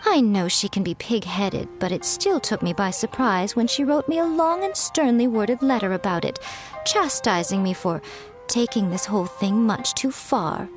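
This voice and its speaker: A woman's voice: medium pitch, expressive, moderate pace, lightly acted. An actress voicing a sly, teasing woman with a drawling, amused manner. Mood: breezy. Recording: game audio with faint music behind.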